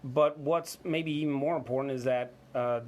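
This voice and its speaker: A man's voice: medium pitch, steady tone, normal speed, darkish tone. A man co-hosting a business discussion, moving between scripted points and informal, conversational remarks. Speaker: co-host of a business talk show.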